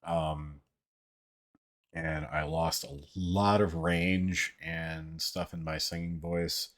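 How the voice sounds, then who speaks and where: Very deep-voiced man chatting on a livestream. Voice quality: deep, somewhat monotone. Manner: rambling, halting, heavy casual swearing. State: voice somewhat out of sorts. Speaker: livestream host. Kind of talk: casual livestream chat, reading viewer comments.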